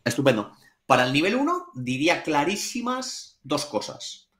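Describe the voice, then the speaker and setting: A man's voice: fairly high pitch, big pitch swings, normal speed, a bit bright. A man with a Spanish accent from Spain, a finance exam trainer, answering interview questions in a frank, colloquial way with mild swearing. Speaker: CFA trainer and academy director.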